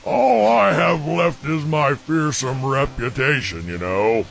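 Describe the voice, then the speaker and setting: A man voicing a proud, brooding exiled dragon in an animated film, speaking in a dry, grandiose, theatrical way. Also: fairly high pitch, slow, creaky, faint music behind, exaggerated voice. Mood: resentful, proud. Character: banished male dragon, gruff, brooding, proud of his might.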